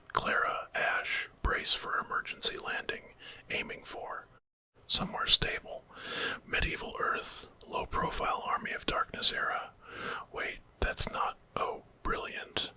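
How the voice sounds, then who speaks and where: A man's voice: unhurried, sharp tone, poor audio. A man voicing a resolute time-travelling alien adventurer in a whispered ASMR story. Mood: urgent.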